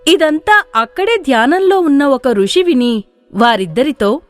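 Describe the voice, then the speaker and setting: A woman narrates a story in a fairly high voice. The delivery is scripted storybook narration. Music plays beneath her. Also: lively intonation, unhurried, dry recording. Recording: music playing behind the voice.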